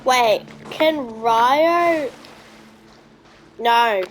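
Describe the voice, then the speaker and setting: A woman's voice: fairly high pitch, drawn-out words, faint music behind. A woman giving casual gameplay commentary, musing aloud in short questions as she plays. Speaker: gamer.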